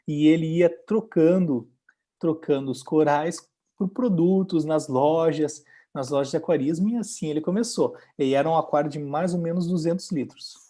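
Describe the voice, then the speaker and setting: A man's voice: on the high side, fairly quick, mellow. A man with a Brazilian accent, hosting an interview and interpreting for the guest in a conversational way. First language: Portuguese.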